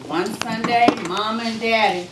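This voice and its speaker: A woman's voice: a little high, steady tone, moderate pace. An American woman author talking and reading aloud to young children, explaining things simply and often asking them questions. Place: school room with young children. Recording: echoey room, distant mic, background noise.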